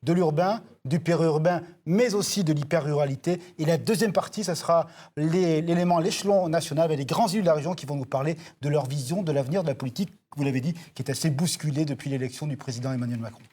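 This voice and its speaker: A man co-hosting a televised political debate, setting out the programme in a brisk, explanatory way. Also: fairly high pitch, a little mellow. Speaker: debate co-host.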